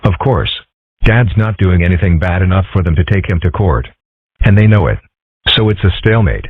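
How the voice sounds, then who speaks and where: A man voicing a calm, philosophical young male fox in a comic dub, husky, measured and deadpan. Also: low voice, no echo. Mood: calm, explaining. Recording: muffled narrow-band lo-fi audio.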